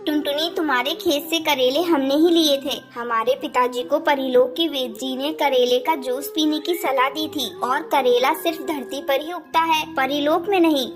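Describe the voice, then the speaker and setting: A gentle fairy woman from a children's cartoon, voiced by a woman. She explains herself in a soft, apologetic manner. Music is clearly heard behind her. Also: high voice, moderate pace, hardly any pauses, close to an everyday voice. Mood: kindly, explaining. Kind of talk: Hindi children's cartoon story acting.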